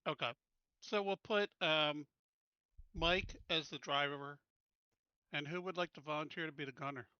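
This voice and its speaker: A man's voice: a little high, fairly even intonation, normal speed, smooth, dry recording, muffled recording. A man playing a tabletop game, casually deliberating aloud over the plan with the group.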